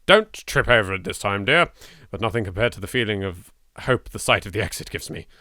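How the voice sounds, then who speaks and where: A man's voice: on the low side, animated, fairly quick, bright, forceful tone, no room sound. A man with an English accent hosting a let's play, reading aloud dramatically and commenting in a theatrical, exasperated, sweary way. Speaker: gaming video host.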